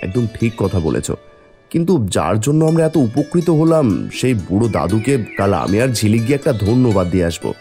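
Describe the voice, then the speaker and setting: A man's voice: on the low side, big pitch swings, brisk, warm tone, faint music behind. A man voicing a poor, mild-mannered village farmer and father in a cartoon fairy tale. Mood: amazed.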